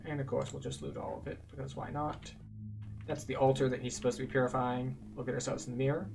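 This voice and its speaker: A man's voice: mid-range voice, little pitch movement, fast, creaky, distant mic. A man giving casual walkthrough commentary as a guide to a video game.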